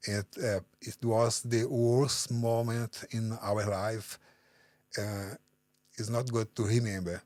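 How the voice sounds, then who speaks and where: A man from Brazil with a Brazilian Portuguese accent, a native Portuguese speaker and interview guest, speaking in halting English and searching for words with many restarts. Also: fairly low pitch, steady tone, a little mellow, no room sound. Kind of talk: interview answers.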